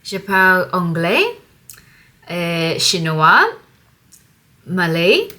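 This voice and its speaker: A young woman from Singapore vlogging in careful, slightly halting French that is not her first language. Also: lowish voice, lively intonation, pushed and bright. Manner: slow, careful learner's French with pauses. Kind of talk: casual vlog to camera.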